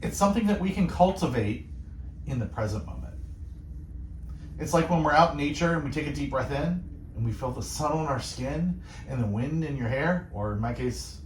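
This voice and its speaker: A male radio host speaking in a calm, soothing, meditative way, gently musing and asking reflective questions. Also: fairly high pitch, moderate pace, roomy sound, slight background noise, poor audio. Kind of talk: gentle devotional radio talk.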